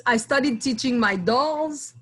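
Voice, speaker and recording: A woman answering interview questions earnestly and proudly, in short phrases with pauses. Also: high-pitched, a bit bright, husky. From Haiti. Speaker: guest, school board candidate.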